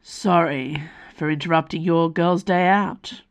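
A woman voicing a weary, gruff middle-aged male mercenary father, with a dry, understated delivery. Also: on the low side, steady tone, unhurried, lightly acted.

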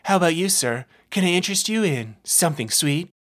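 A man doing a high sing-song clown woman. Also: lively intonation, a little fast, fairly bright tone. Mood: coaxing.

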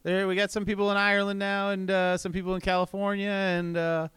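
A man hosting a podcast in casual chat, relaxed and rambling with fillers. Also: sits high, brisk, smooth, dry recording. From Boston area. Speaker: podcast host and comedy club owner.

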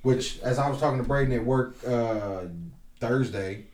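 A man's voice: mid-range voice, normal speed, darkish tone. A man co-hosting a podcast in casual chat, blunt and emphatic, swearing freely. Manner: profane, blunt, emphatic opinions. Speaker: podcast co-host. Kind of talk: casual chat among friends. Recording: roomy echo, distant from mic.

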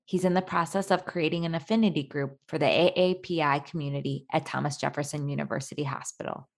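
A woman's voice: deep voice, fast talker, gravelly. A woman narrating a scripted interview feature as its host.

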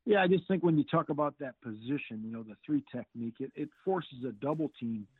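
A middle-aged football coach answers questions over a tinny, phone-like line that sounds completely dry. He talks in halting bursts and keeps repeating words, but stays upbeat. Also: mid-range voice, lively intonation, husky, no room sound. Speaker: football defensive coordinator. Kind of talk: interview answers.

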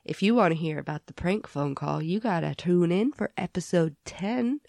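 A woman's voice: lowish voice, expressive, moderate pace, vocal fry, a little mellow, dry recording. Giggly woman losing her voice, dry sound. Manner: giggly, laughs often. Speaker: podcast co-host. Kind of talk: casual chat.

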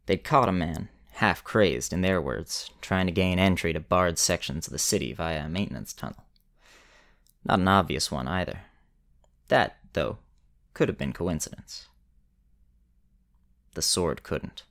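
A man narrating a scripted story in a measured way with dramatic pauses. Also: low-pitched, gravelly, a bit bright.

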